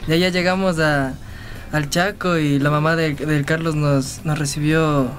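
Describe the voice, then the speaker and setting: A young man in his twenties from Ecuador, a guitarist, telling a band story casually with halting restarts in a music studio. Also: a little high, fairly even intonation, fairly bright tone. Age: 20s.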